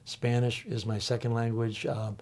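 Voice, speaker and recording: Older man panel guest, mellow tone, reflective and halting, lots of ums. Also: mid-range voice, flat delivery. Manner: thoughtful, halting, many ums and uhs. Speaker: panel guest, college faculty member.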